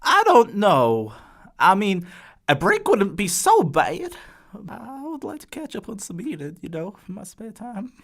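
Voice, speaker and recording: A woman voicing a supportive, food-loving sheep in an audio story, expressive and easygoing. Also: mid-range voice, a little slow, exaggerated voice. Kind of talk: children's story character acting.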